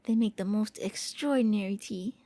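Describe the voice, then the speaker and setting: Woman as a soft, mellow, gentle vampire prince. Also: mid-range voice, normal speed, a little hiss or hum, close to an everyday voice. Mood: warm, eager. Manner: gentle, hesitant, intimate, stammering when flustered. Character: gentle, shy, eager young-looking immortal vampire prince. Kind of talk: soft ASMR roleplay monologue.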